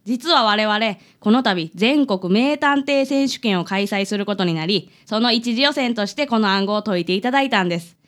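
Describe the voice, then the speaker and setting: A woman's voice: mid-range voice, lively intonation, moderate pace, lightly acted. A woman playing a polite, formal leader of a detective fan club in a radio drama. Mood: announcing. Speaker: visiting client in a radio drama.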